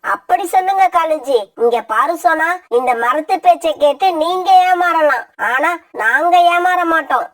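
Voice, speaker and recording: A woman's voice: extremely high pitch, unhurried, fairly bright tone, distant mic. A woman voicing a stubborn, suspicious female crow in an argumentative, insistent way for a children's cartoon fable. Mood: defiant. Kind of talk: cartoon voice acting.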